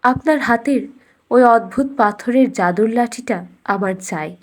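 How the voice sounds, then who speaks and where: A woman's voice: a little high, a little slow. A woman voicing a clever, determined young princess in a storybook cartoon, polite but insistent. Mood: coaxing.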